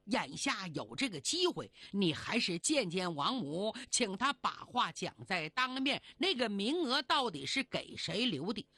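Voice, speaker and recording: Woman playing a cautious male immortal, slow and earnest. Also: medium pitch, very animated, pushed and bright, husky, dry recording, full character voice. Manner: measured, advising.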